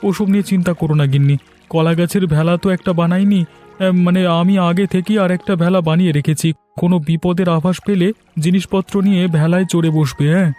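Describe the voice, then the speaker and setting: A man as a high-pitched, quick village husband. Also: steady tone, dark tone, faint music behind, close to an everyday voice. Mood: reassuring. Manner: reassuring, confident. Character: reassuring, resourceful village husband. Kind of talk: cartoon story acting.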